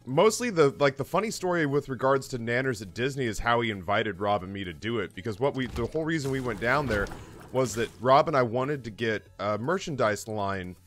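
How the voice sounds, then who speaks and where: A man in his thirties with a mid-pitched voice, telling personal stories like a streamer chatting to viewers. His delivery is casual, animated and comedic, with swearing and jokes at his own expense. Music plays faintly behind him. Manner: animated, profane, self-deprecating. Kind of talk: casual comedic storytelling on a livestream. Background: background music.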